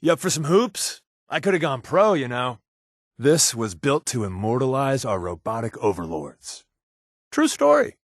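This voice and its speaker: A wisecracking spy, animated and dry. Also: male voice, mid-range voice, moderate pace, dry recording. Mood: playful. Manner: dry, deadpan, joking delivery. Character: wisecracking sardonic male spy companion in a wasteland. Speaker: voice actor. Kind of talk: video game companion dialogue.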